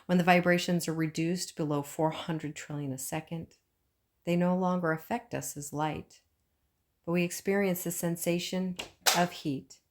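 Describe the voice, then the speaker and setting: A woman reading a book aloud as scripted narration, with measured phrasing and frequent pauses. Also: on the low side, fairly even intonation, a little mellow. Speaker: audiobook reader.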